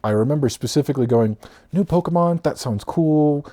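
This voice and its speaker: A man in his thirties casually telling his own story for a vlog, rambling and laughing as he goes, often trailing off softly, sometimes a little off his own mic. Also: on the high side, a little fast. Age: 30s. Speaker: vlogger. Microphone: own mic, off-axis at times.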